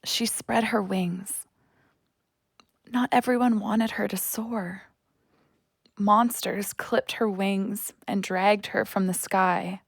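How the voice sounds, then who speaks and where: A woman's voice: mid-range voice, steady tone, slow pace, creaky, pauses often, dry recording, studio quality. A woman playing an earnest, wounded young woman who is friendly and seeks connection, confiding in an audio drama. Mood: hushed, haunted.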